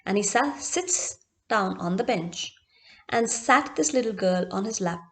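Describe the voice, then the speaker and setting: A woman's voice: medium pitch, expressive, a little slow, a bit bright. A woman storyteller narrating expressively and dramatically, in short emphatic phrases with repetition.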